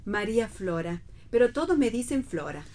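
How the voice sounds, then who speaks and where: A woman's voice: medium pitch, very animated, normal speed, darkish tone, lightly acted. An actress playing a proper, homely older mother, mildly reproachful and resigned, in a read radio play. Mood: matter-of-fact. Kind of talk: staged reading of a radio play.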